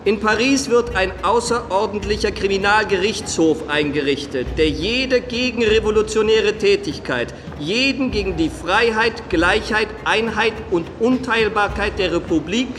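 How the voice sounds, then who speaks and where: An actor playing an official who proclaims a decree in a radio play, formal, measured and declamatory. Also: male voice, high voice, fairly bright tone. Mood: solemn, official. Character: official male reader proclaiming a government decree. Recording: long hall reverb, faint noise.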